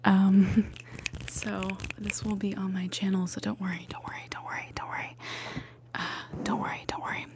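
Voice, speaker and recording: A young woman whispering through an ASMR livestream, chatting casually and repeating words softly into the mic. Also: mid-range voice, little pitch movement, normal speed. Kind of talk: whispered ASMR live chat. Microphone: ASMR microphone.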